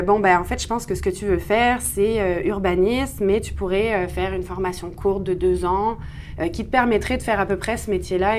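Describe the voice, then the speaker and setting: A woman's voice: mid-range voice, slight background noise. A woman in her thirties from France with a French accent, talking at length in a conversational, self-deprecating way as an interview guest. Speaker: interview guest, urban planner. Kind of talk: conversational interview, personal storytelling.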